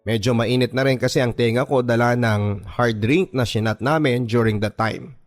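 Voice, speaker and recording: A man reading a listener's letter aloud as a radio drama narration, telling the story in first person in an earnest, measured way. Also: medium pitch, steady tone. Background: faint background music.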